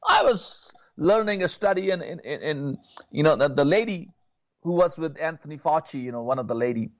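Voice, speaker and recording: Pastor, male, from Pakistan; earnest, halting appeal; higher voice, dull audio. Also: expressive. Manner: earnest, repeats words, many "you know"s. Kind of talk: impassioned prayer appeal.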